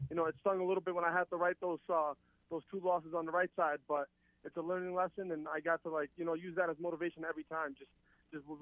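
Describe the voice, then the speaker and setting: A man's voice: fairly high pitch, no room sound. A man in his twenties, originally from Palestine, talking casually as a guest fighter with lots of fillers and restarts. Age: 20s. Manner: rambling, filler-heavy, upbeat. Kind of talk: casual interview over a remote call. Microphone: remote call. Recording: tinny phone-like line.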